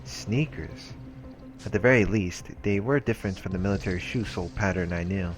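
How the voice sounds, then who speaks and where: Male let's play host, low voice, reading story narration with casual asides over clear game music. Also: fairly quick.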